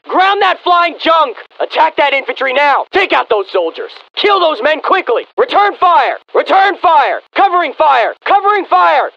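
American game soldier, very high strained pitch, gravelly, clipped orders, dull radio filter. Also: male voice, sharp tone, full character voice. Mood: urgent, commanding. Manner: clipped, commanding. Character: urgent, disciplined American infantry soldier. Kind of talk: military video game unit barks.

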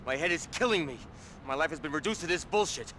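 An actor playing a jaded, sarcastic middle-aged American club-owner criminal in a video game, with a dry, theatrical, drawn-out delivery. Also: male voice, a little high, expressive, bright, forceful tone, a little hiss or hum. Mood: weary, irritated. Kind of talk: video game cutscene acting.

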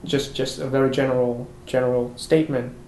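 A young man, an international student, speaking English thoughtfully in an interview with soft laughs. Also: level tone, a little fast. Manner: thoughtful, gestures, laughs softly. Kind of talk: casual interview. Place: college room by windows. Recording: echoey room with faint rumble.

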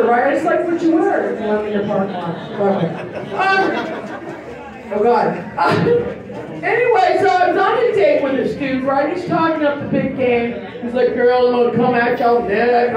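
A raspy-voiced woman, performing a bawdy, self-mocking stand-up set on a handheld mic in a large hall. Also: sits high, expressive, moderate pace, dull audio, crowd noise. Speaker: stand-up comedian. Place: large indoor hall on a small stage. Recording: echoey hall from far off with audience.

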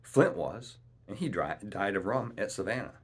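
A man's voice: fairly low pitch, animated, moderate pace, slightly rough. A man voicing a cunning, domineering pirate ship's cook in a storybook, with boastful, wheedling, rustic seafaring speech. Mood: scornful.

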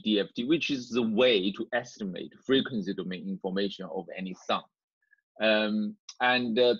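A man giving an unscripted online tutorial as a university lecturer, explaining in a halting, hesitant way over a video call. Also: fairly low pitch, slightly rough. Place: indoors, online class via screen share. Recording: dull, roomy video-call audio.

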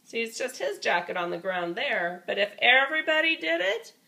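A woman reading a storybook aloud to a child in an expressive, folksy, playful way with chatty asides. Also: on the high side, normal speed, vocal fry.

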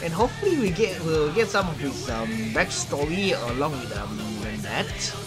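A man's voice: on the high side, sing-song. A young man giving casual reaction commentary, repeating himself and searching for words as he goes. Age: young adult. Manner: halting, repetitive, searching for words. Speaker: reaction video host. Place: small home room, indoors. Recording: game trailer music playing behind.

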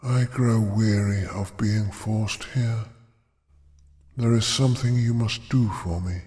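A man voicing an ancient, imprisoned female dragon goddess who speaks slowly and with command. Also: on the low side, fairly even intonation, a little mellow. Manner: slow, measured, otherworldly.

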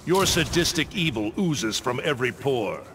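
An actor playing a stern, righteous thunder god warrior in a video game, solemn, formal and commanding. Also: male voice, mid-range voice, expressive, moderate pace, noisy background, lightly acted. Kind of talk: video game character acting.

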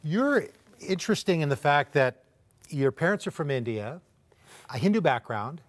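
A man's voice: fairly high pitch, very animated, normal speed. A man in his fifties hosting a TV talk show, interviewing a guest on a studio set with leading questions that sum up the story. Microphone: lapel mic.

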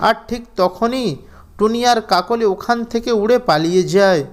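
A man with a very high-pitched, smooth voice. He narrates a scripted tale for children, with clean, resonant tone. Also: normal speed.

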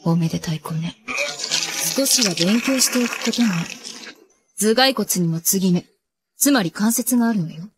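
A woman's voice: mid-range voice, expressive, moderate pace, pauses often, noisy background, natural voice. A woman voicing a ruthless, imperious young princess and wrestler in an anime, speaking in haughty, archaic commands. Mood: menacing, triumphant. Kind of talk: anime voice acting.

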